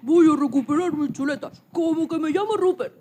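A woman's voice: high-pitched, brisk, warm tone, natural voice. A woman playing a plucky, wondering little boy pig in a children's radio play. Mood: determined, indignant.

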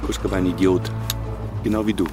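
An actor playing a scornful, threatening career criminal in a German radio drama, speaking in a sneering way. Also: male voice, sits low, flat delivery, a little fast, close to an everyday voice. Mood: cold contempt. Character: menacing, scornful male career criminal with a gun. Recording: radio drama with music underneath.

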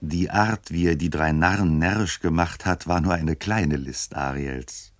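A man's voice: sits low, a little slow, no echo. A man narrating a scripted story as the narrator of a radio play.

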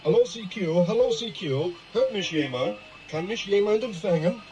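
An actor playing a desperate, pleading radio operator calling repeatedly over shortwave in a radio drama. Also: male voice, high-pitched, expressive, normal speed. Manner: pleading, repetitive. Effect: shortwave radio transmission. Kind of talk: German radio drama acting. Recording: dull, noisy shortwave radio transmission.

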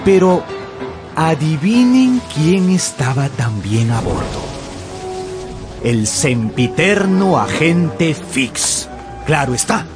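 A man narrates a radio drama in a theatrical, scripted style. His voice sits fairly high and moves in a lively way, with music underneath. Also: slow pace. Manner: storytelling, theatrical. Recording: music behind the voice.

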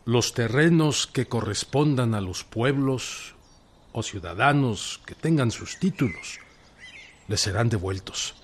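An actor playing a resolute revolutionary general from rural Mexico in a radio drama, speaking solemnly and deliberately with a Mexican accent. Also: male voice, medium pitch, expressive, slight background noise. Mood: solemn, proclaiming. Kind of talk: radio drama acting, proclamation and dialogue.

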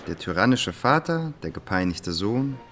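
A man's voice: on the low side, sing-song, normal speed, fairly bright tone, faint music behind. A man narrating history in a scripted radio play, knowing and ironic, in clipped, pointed phrases.

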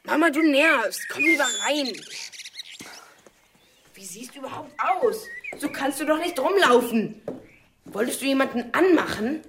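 An exasperated teenager played by a woman with a high voice, in a radio drama. The delivery is blunt, sullen and reproachful, with a protective streak. There is faint noise behind. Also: expressive, unhurried, pauses often. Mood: annoyed, reproachful. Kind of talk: German radio drama acting.

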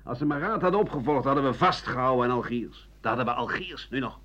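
An actor playing a bitter old French doctor-writer in a radio play, rambling through a sardonic, coarse, self-justifying monologue in a husky voice. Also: male voice, medium pitch, fast, fairly bright tone, close to an everyday voice. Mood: defensive, indignant. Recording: dull lo-fi 1980 radio recording.

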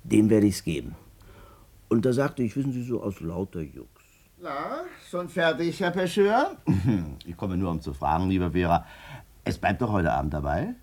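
Talkative Berlin man-about-town in a 1950s German radio drama. The voice is mellow and slightly rough, moving up and down freely. He narrates colloquially, cocky and forever justifying himself. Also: fairly low pitch, normal speed, pauses often. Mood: confiding, wry. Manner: colloquial, animated, self-justifying. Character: chatty, cocky Berlin businessman telling his amorous past.

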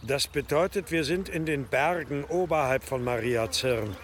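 An actor playing a frail, anxious, learned elderly abbot in a German audio drama, speaking haltingly with gasps. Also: male voice, mid-range voice, slight background noise, close to an everyday voice. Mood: hushed, shaken. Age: older. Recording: long cave-like reverb, music underneath.

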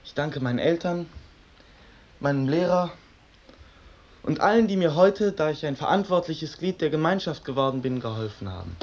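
A man's voice: on the high side, animated, moderate pace, pauses often, a little mellow, a little hiss or hum. A male actor playing an earnest boy reciting a formal speech in a radio play.

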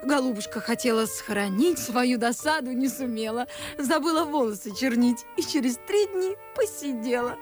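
An actress playing a pert, merry, cheeky but deferential young maidservant in a verse radio play, with lively theatrical delivery. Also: female voice, fairly high pitch, normal speed, lightly acted. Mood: giggly, gossiping. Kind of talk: classic verse stage acting for radio. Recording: faint music under the voice. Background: music.

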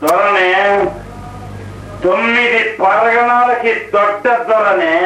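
An actor playing a swaggering, lecherous village lord in a radio play, boastful and taunting, with a drawl. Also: male voice, sits high, sharp tone, slight background noise, heavily acted. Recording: distant, echoey, muffled radio recording.

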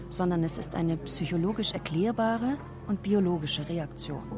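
Female narrator, lowish voice, scripted essay commentary, clear music underneath.

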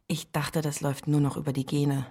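An actress playing a sharp, ironic woman who asks dry, probing questions in a radio play. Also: low voice, little pitch movement, brisk, slightly rough.

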